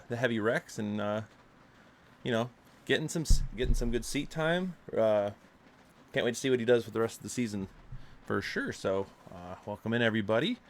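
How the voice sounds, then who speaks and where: A man's voice: medium pitch, normal speed. A man hosting a casual chat show, stuffed up from allergies and talking loosely with lots of fillers. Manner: loose, filler-heavy talk. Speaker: podcast host. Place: home room with loud rain outside. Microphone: desktop microphone.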